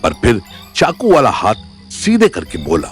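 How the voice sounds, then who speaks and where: A man's voice: lowish voice, huge pitch swings, a little slow, faint music behind. A man narrating a dramatic audio story in a scripted storytelling style.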